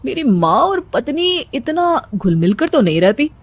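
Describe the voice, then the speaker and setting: A woman's voice: high-pitched, big pitch swings, measured pace, background noise, exaggerated voice. A woman voicing an exasperated husband caught between his wife and his mother in a cartoon story. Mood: bewildered.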